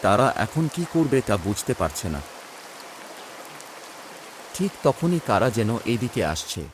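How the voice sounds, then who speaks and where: A male narrator reads a scripted cartoon story in a lowish, animated voice. Rain and thunder noise is clearly heard behind him. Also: measured pace. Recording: noisy track with rain and thunder.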